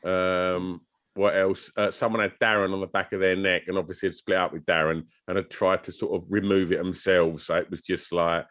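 A man's voice: low-pitched, little pitch movement, a little fast, a bit bright. A British man co-hosting a podcast in casual, teasing banter, often laughing. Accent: British English. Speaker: podcast co-host.

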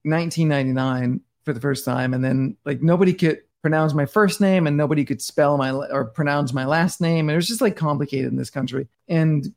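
Gravelly-voiced man, rambling and intense. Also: fairly high pitch, fairly quick, few pauses. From Denmark. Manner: intense, rambling, many restarts and "like". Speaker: podcast guest. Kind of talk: casual interview talk.

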